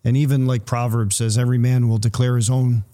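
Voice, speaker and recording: Mellow-voiced man discussing the Bible. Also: mid-range voice, little pitch movement, fairly quick. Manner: reads scripture aloud in King James wording.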